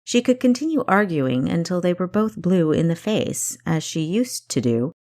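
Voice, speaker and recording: Low-pitched woman narrating a novel. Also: big pitch swings, moderate pace, darkish tone. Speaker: author narrating own audiobook. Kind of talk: scripted fiction narration.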